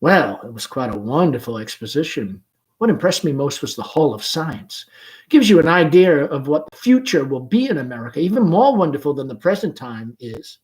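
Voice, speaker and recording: A man playing a confident, gently humorous young gentleman in a play, speaking in a hearty, breezy manner. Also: on the high side, very animated, normal speed. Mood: confident, easygoing. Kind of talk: play read-aloud.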